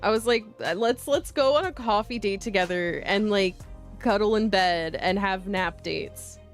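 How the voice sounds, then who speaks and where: A woman's voice: medium pitch, moderate pace, soft background music. A woman hosting a livestream of visual novels, chatting casually and playfully and sometimes reading aloud, in an informal way. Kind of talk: casual game stream chat with reading aloud.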